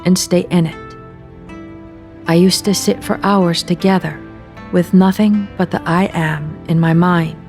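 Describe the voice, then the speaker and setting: A woman leading a guided meditation, speaking in measured, deliberate phrases with soft endings and pauses. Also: on the low side. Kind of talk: guided meditation, slow spoken readings with long pauses. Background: meditation music at beginning and end.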